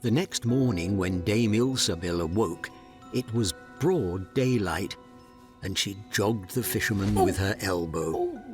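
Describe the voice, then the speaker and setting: Casting: male fairy tale narrator, lowish voice, measured and unhurried, over clear background music. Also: expressive. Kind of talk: storybook narration.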